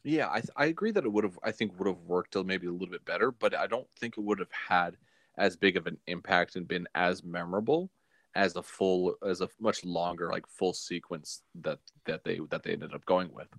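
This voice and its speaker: A man co-hosting a casual film discussion, halting and often restarting his sentences. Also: low-pitched, fairly bright tone, no echo, clean studio sound. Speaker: podcast co-host.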